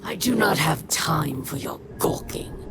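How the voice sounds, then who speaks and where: A woman's voice: deep voice, lively intonation, unhurried, very noisy. An actress playing a proud, bitter female alien warrior held captive in a video game, haughty and disdainful in every phrase. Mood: scornful. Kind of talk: video game character acting.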